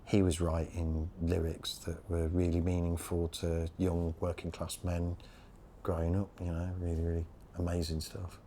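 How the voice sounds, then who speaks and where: A man's voice: low-pitched, completely flat delivery, normal speed, dark tone, a little hiss or hum. A man in his fifties, a band's rhythm guitarist, speaking earnestly in a documentary interview. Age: 50s. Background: music bed.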